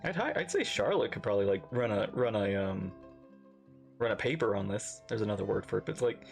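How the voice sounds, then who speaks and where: A woman's voice: very deep voice, animated, brisk, creaky, soft background music. A woman streamer giving casual live game reaction commentary, chatty and thinking aloud.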